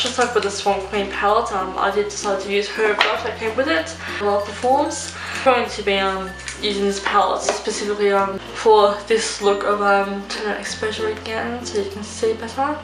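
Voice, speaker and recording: Nasal young woman in an echoey room. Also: mid-range voice. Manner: chatty, rambling, many ums. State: slightly nasal from a cold. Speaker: beauty vlogger. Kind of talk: casual makeup tutorial chat. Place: room at home. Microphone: phone camera. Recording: roomy home recording with music. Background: soft background music.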